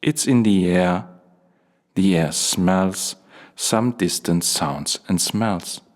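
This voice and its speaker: A man playing a dreamy, solitary stage character in a poetic radio play, speaking in lyrical fragments. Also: low-pitched. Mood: dreamy, nostalgic. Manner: slow, fragmented, lyrical phrasing. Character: Bibi, dreamy solitary stage character marvelling at orange skies.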